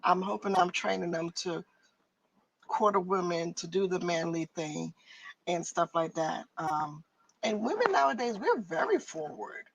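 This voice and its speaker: A middle-aged woman on a church discussion panel, speaking in a measured, reflective way. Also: lowish voice, expressive, dull audio. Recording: distant mic, faint background noise.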